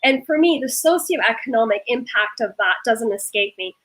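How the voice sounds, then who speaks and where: A woman's voice: a little high, animated, normal speed, a bit bright. A woman who grew up in Norway, speaking earnestly and with passion as a panelist in a discussion. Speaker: panelist, food startup founder.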